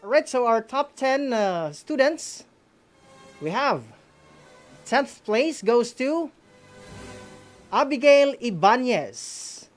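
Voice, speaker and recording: A woman's voice: fairly high pitch, expressive, slow, pauses often, faint music behind. A woman with a Filipino accent hosting an award ceremony on a radio program, often tagging phrases with "no?".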